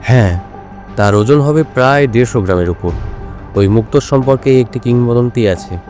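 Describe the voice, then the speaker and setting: A male actor playing a shrewd, self-assured detective in an audio drama, speaking in a measured, explanatory way. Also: mid-range voice, darkish tone, faint music behind, close to an everyday voice. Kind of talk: Bengali audio drama dialogue.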